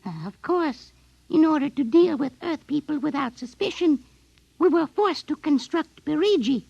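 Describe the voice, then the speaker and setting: An actress in a 1950s radio drama voicing a tiny alien doll commander, haughty, precise and coldly menacing. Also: female voice, high voice, animated, moderate pace, gravelly, darkish tone, no echo, lo-fi sound. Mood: cold, imperious.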